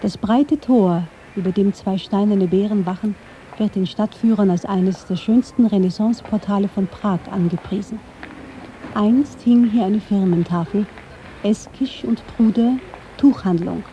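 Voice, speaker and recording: A woman reading scripted narration for a radio feature in a measured, literary way. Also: medium pitch, mellow, highs cut off, slight background noise. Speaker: documentary narrator.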